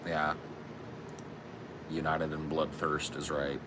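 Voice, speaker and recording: A man with a deep voice, co-hosting a podcast in a loose, profane, rambling chat. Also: monotone, slow pace, noisy background. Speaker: podcast co-host.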